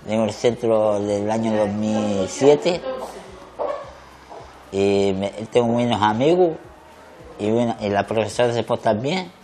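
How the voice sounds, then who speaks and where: A man's voice: on the low side, unhurried, pauses often, over music. A man of fifty introducing himself simply to camera. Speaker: occupational centre member. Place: indoor room.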